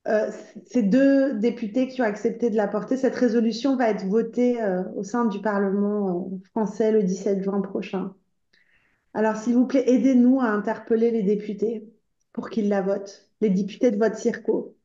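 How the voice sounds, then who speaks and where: A woman from Iran giving an impassioned conference speech as an association spokeswoman, urgent and earnest, often pausing on hesitant fillers as she calls the audience to act. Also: medium pitch, fairly even intonation, mellow. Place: conference room. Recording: roomy, slightly dull conference recording.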